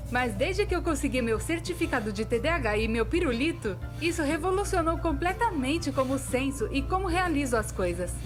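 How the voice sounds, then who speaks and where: A high-pitched Brazilian female cartoon storyteller, acted by a dub actress, chatty and self-deprecating. She narrates expressively, with big swings in pitch. Music plays clearly behind her. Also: a little fast, few pauses. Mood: chatty, candid. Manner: expressive, conversational. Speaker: voice actor in a fan dub. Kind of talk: scripted animated-story narration.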